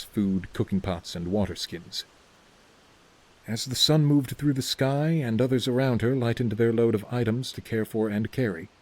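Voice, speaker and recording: A man's voice: medium pitch, darkish tone. A man reading scripted narration for a fantasy story as its narrator.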